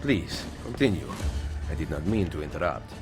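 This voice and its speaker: An actor playing a resolute, wry Renaissance assassin in a video game, calm and measured. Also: male voice, a bass voice, over music. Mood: cool menace. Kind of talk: video game cutscene acting.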